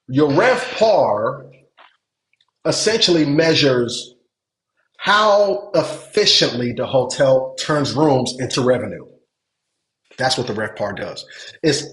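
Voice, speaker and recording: A man from Nigeria coaching a webinar on hotel investing, repeating words for emphasis and checking that listeners follow. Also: a little high. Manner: repeats words for emphasis, asks if it makes sense. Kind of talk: webinar teaching. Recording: echoey room.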